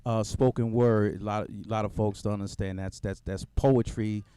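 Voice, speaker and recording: A man of fifty-one hosting a lively panel chat show, teasing his guests playfully and cheering them on. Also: on the low side, normal speed, smooth, dry recording. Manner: playful, teasing, encouraging with frequent affirmations. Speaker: host.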